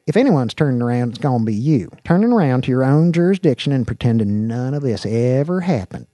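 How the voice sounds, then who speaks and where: A man's voice: mid-range voice, big pitch swings, brisk, dark tone, no echo, voice pushed up, natural voice. A man voicing a scruffy, unfazed moonshiner in an audiobook, with an American country accent and a sly, folksy manner. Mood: cocky, defiant.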